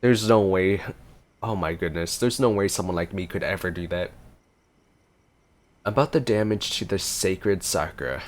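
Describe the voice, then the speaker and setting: Low-voiced man reacting to a game. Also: normal speed, pauses often. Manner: informal, easily impressed, exclamatory. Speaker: gaming streamer. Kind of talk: casual gameplay reaction commentary.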